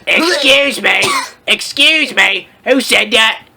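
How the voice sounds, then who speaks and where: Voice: medium pitch, animated, takes it slowly, sharp tone, heavily acted. Reader playing a suspicious, irate old-school janitor man for a comedy story, noise behind. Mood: demanding. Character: angry shouting male school janitor. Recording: clear background noise.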